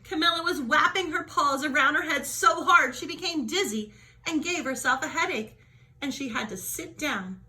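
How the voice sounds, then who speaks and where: A woman's voice: sits high, moderate pace, bright, forceful tone. A woman storyteller reading a children's book aloud with dramatic emphasis, stretching out key words.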